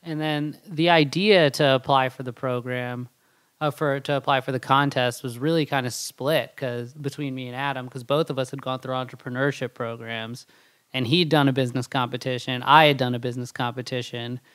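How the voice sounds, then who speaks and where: A man's voice: medium pitch, fairly even intonation, brisk, a bit bright, dry recording. A man answering podcast questions in a plain, matter-of-fact way. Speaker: kombucha company co-founder. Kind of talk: casual podcast interview.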